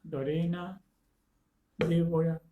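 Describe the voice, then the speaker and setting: Slow-talking man with a cold. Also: a little high, flat delivery, roomy sound, muffled recording, background noise. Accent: Rioplatense Spanish. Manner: greets attendees by name, halting with many fillers. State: congested with a cold and fever. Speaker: teacher. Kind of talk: live online lecture.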